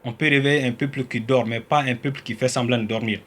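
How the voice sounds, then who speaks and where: A man's voice: mid-range voice, fairly even intonation. Man from Mali giving a political address. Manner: starts slow and measured, grows louder and heated. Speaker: citizen activist.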